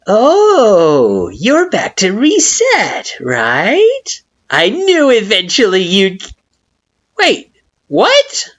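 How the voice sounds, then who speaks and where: A woman voicing a small spiteful male flower creature with a high-pitched, derisive, mocking voice. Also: huge pitch swings, extremely slow, heavily acted. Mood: sneering. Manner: shrill mocking sing-song, taunting.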